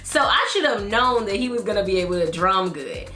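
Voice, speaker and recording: A young woman talking to camera at home in a casual vlog, bubbly and quick to laugh. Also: mid-range voice, echoey room, soft background music. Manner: bubbly, laughs often, excitable. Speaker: vlogger. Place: living room at home.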